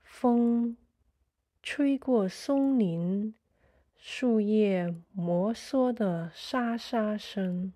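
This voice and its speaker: Very slow, mellow woman guiding meditation. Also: mid-range voice. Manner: soothing, measured, short phrases with pauses. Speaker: guided meditation narrator. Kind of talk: slow sleep meditation narration.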